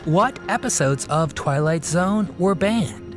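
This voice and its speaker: A narrator reading a scripted history in a steady, informative way. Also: mid-range voice, slow pace. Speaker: documentary narrator. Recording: narration over music.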